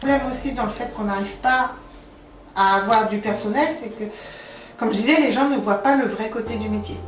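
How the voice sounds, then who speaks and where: A woman's voice: fairly high pitch, a little fast, a little hiss or hum. A woman giving a candid, conversational interview testimonial as a home care worker. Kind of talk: interview testimonial about their job. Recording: echoey room, distant mic, music bed. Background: music.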